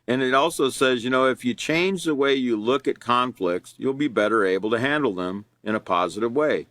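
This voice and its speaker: A man teaching a character education class, reading from a workbook and explaining it in a loud, earnest way with many fillers and restarts. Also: medium pitch, moderate pace, no room sound, clean studio sound. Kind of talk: reading and explaining a lesson live.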